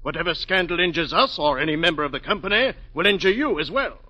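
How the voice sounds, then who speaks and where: An actor playing an anxious, persuasive opera director in formal, placating 1940s radio style. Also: male voice, fairly high pitch, lively intonation, quick pace, sharp tone, slightly rough, heavily acted. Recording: muffled 1940s radio broadcast.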